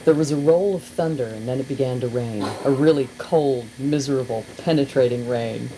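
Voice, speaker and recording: A woman reading a humorous memoir aloud in an expressive, wry, deliberate storytelling style, recorded on an amateur cassette. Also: extremely low pitch, rough recording. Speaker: radio personality reading aloud. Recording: hissy amateur cassette tape, faint noise.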